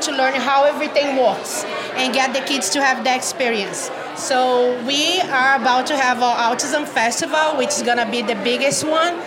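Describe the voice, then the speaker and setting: A woman's voice: high-pitched, low-quality recording. A woman with a Brazilian accent, a native Portuguese speaker, explaining community programs conversationally as an interview guest. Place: video call.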